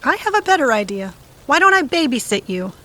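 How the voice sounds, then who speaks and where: A woman's voice: sits high, very animated, brisk, bright, forceful tone, full character voice. A woman playing a wry, sardonic, restless character who talks in plain colloquial phrases in an audio drama. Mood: probing, wry.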